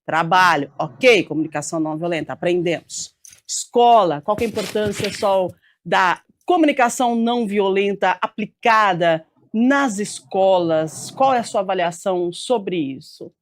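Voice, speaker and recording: A woman's voice: mid-range voice, moderate pace. Woman talk show host, upbeat, keeps saying uhum, mid voice. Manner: enthusiastic, frequent affirmations. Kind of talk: studio talk show hosting.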